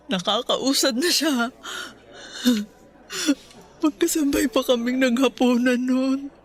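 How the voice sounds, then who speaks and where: Grief-stricken Filipino mother, high-pitched. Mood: weeping grief. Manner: tearful, emotionally raw delivery. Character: grief-stricken, bitter mother mourning her young son. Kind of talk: Filipino radio drama acting.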